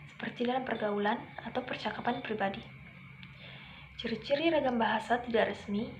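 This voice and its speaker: A young adult woman from Indonesia reading a scripted lesson aloud in a formal, recited manner, as a student presenter. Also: on the high side. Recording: dull lo-fi with faint noise.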